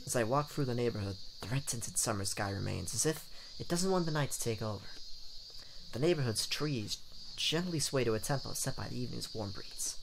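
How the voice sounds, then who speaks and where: A man's voice: mid-range voice, lively intonation, brisk, vocal fry, background noise. A man hosting a let's play, reading a visual novel aloud in a playful, theatrical way with jokey asides. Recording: game music behind the voice. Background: game music.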